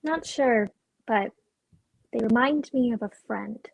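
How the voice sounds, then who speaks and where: A woman plays a guarded woman in a stage drama reading. Her voice is fairly high with a husky edge, and she answers in short, clipped phrases as she holds her feelings in. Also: slow, full character voice, low-quality recording. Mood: guarded, distracted. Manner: clipped, evasive. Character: curt, guarded woman bottling up her upset.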